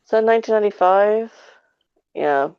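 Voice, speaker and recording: A woman's voice: medium pitch, moderate pace, clean tone, dry recording, lo-fi sound. A woman giving her take in a casual, rambling review chat with an informal way of talking. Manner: rambling, informal, frequent 'like'. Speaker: podcast guest reviewer.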